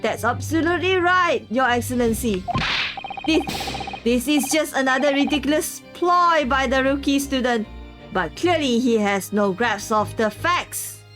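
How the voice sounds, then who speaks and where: A woman's voice: high voice, a little slow. A woman voicing a smug, dismissive male prosecutor, with game music behind. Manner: sneering, dismissive.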